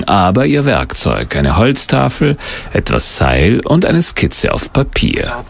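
A man's voice: rumbling low, creaky, slight background noise. A man narrating a documentary from a script in a dramatic, suspenseful manner.

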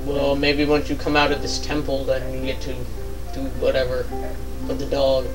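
A man's voice: medium pitch, completely flat delivery, moderate pace. A man chatting casually and jokingly as he plays a game, given to exclamations and mock-dramatic lines. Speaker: gaming video player. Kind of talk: casual joking let's play chat. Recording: distant mic under game music. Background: video game music.

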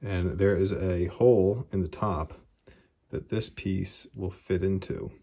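A man's voice: deep voice, slow pace, husky. A man casually explaining his model railroad scenery work, thinking aloud as he goes. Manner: relaxed, thinking aloud while working. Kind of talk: casual how-to narration. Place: hobby room at a model train layout.

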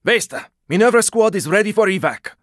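A gruff male survivor from a zombie shooter game, battle-worn and protective. His voice is raspy and pitched very high for a man, swinging widely as he delivers terse combat callouts. The sound is close and dry. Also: expressive, brisk, no echo. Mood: grim, low. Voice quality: gravelly, raspy. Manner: terse, intense callouts, often strained or shouted. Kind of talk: video game combat voice lines.

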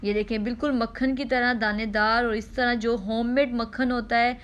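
A high-pitched woman walks viewers through a home recipe, unhurried and repetitive, with small "ah" pauses. A faint noise floor sits under her voice. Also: level tone, a bit bright. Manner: patient, repeats instructions, frequent "ah" pauses. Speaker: cooking channel host. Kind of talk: step-by-step cooking demonstration.

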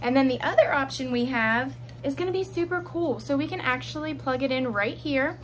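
A woman's voice: high-pitched, lively intonation, brisk. A woman in her thirties giving a chatty, instructive product demonstration to camera, often checking in with the listener. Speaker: product reviewer. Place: small indoor room at home, seated.

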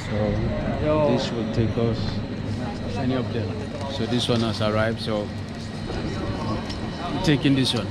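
A man's voice: mid-range voice, takes it slowly, long pauses, heavy background noise. A young man from Nigeria, a travel vlogger talking casually to an arm's-length camera at a train station. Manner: chatty, friendly, thinks aloud to camera. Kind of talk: casual travel vlog narration. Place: airport train station and train carriage, indoors. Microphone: action camera held at arm's length.

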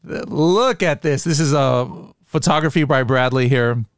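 A chatty, upbeat man hosts a casual interview podcast into a studio microphone. The sound is close and dry with no room echo. His pitch is middle for a man. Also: measured pace, clear, resonant tone. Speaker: podcast host. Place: home studio with headset and boom mic. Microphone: studio microphone on boom arm, headphones.

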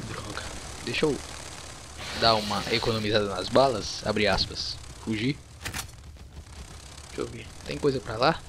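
A man's voice: on the low side, steady tone, unhurried, creaky, pauses often, background noise. A man with a Brazilian accent giving casual let's-play commentary, informally muttering his reactions as he goes. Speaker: gameplay host.

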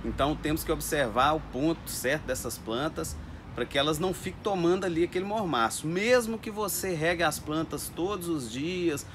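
Chatty orchid grower in greenhouse. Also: male voice, on the high side, moderate pace, a bit bright, slight background noise. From Brazil. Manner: chatty, keeps addressing viewers as "gente". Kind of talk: casual talk to camera with growing tips. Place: indoors in a greenhouse full of orchids.

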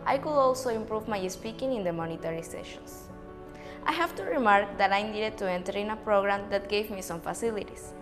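A woman's voice: medium pitch, a little fast, music in the background. A young woman from Ecuador giving an earnest, recited student testimonial. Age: young adult. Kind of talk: prepared testimonial. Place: bright indoor studio backdrop.

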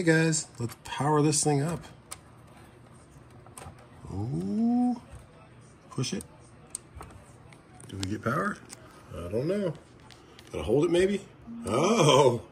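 A man in his thirties chatting casually with his family while filming a vlog, playful and lively. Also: mid-range voice. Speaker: vlogger, trucker dad. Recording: noisy camera audio.

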